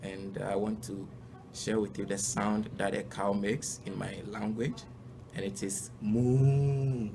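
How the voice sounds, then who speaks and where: Man with a low voice. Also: steady tone, slow pace, gravelly. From Ghana. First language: Fante. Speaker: language contributor. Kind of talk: short self-introduction. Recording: noisy background hiss.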